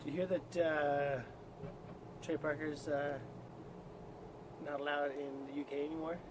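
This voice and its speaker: A man's voice: on the high side, flat delivery, unhurried, background noise. A man co-hosting a comedy show with profane, irreverent banter and mock news items. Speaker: co-host of a comedy video.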